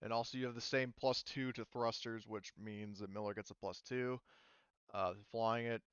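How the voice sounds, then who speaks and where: A man with a lowish, smooth voice works through game rules as game master. He explains in a halting, hedging, conversational way. The sound is close and dry but slightly dull. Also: steady tone, a bit bright, no room sound. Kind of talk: explaining tabletop game rules in casual chat.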